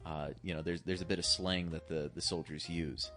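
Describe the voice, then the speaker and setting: A man's voice: deep voice, level tone, normal speed, pushed and bright, faint music behind. A man co-hosting a podcast, explaining things casually and a little hesitantly, often repeating his words as he goes. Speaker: podcast co-host. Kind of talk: casual movie discussion.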